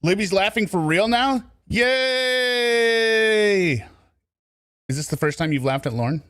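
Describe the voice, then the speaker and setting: A man's voice: high voice, expressive, slow, a bit bright, clear, resonant tone. A man hosting reaction commentary on a recorded call, sounding exasperated and sarcastic. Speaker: commentary host.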